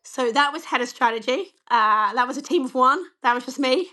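Slightly croaky woman, candid interview guest. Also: sits high, fairly quick, sharp tone. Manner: candid, self-deprecating, many "you know" fillers. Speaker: bank chief executive, interview guest. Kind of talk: conversational interview answers.

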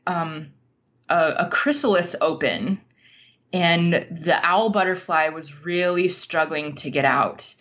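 A woman with a slightly low voice talks slowly and thoughtfully in an interview. Her phrasing halts as she earnestly works through stories and reflections. The sound is a bit dull and muffled. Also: a bit bright. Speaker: podcast guest, writer. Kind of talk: reflective interview conversation, storytelling.

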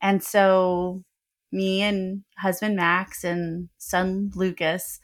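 A middle-aged woman co-hosting a casual podcast from the floor of her bedroom, telling stories in an animated, joking way. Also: medium pitch, extremely slow. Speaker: podcast co-host. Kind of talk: casual chat. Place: bedroom in a new home, sitting on the floor.

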